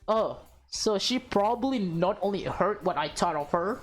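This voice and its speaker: A woman's voice: mid-range voice, lively intonation, moderate pace, low-quality recording. A woman doing a casual let's-play of a visual novel, reading narration aloud and adding chatty, informal asides. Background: game music.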